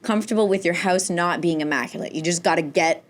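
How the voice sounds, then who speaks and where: A woman with a Canadian accent co-hosting a podcast, her voice a little raspy, chatting in a friendly, easy way. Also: fairly low pitch, fast talker. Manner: friendly, laughing, conversational. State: slightly raspy voice. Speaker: podcast co-host. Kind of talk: casual podcast chat.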